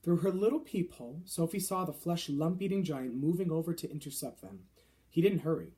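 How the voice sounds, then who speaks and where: Mellow man reading a children's story. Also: on the high side, animated, fairly quick. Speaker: storybook reader. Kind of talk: scripted children's story narration.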